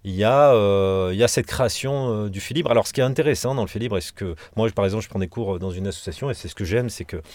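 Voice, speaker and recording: A man's voice: lowish voice, fast talker, hardly any pauses, darkish tone, no room sound. A man from Provence, a band singer, talking casually in an interview with hesitant, digressive phrasing.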